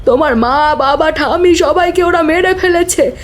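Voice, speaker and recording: A woman's voice: sits high, measured pace. A woman playing a devoted, anxious governess in an audio drama, speaking emphatically and with emotion. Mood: terrified, urgent. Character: devoted governess, anxious and protective of her young charge. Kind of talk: dramatised audio story acting. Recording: music behind the voice.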